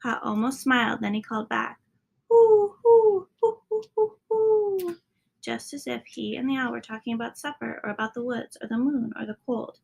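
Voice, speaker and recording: A woman reading a children's story aloud as a narrator, sometimes dropping into a hushed tone at the ends of lines. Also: a little high, fairly even intonation, a little fast, creaky, hardly any pauses, husky, poor audio. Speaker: storybook reader.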